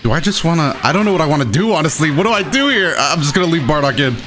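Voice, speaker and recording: A young adult man giving excitable, self-deprecating live commentary over his own gameplay as the host. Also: fairly high pitch, racing, slight background noise. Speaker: gaming video host.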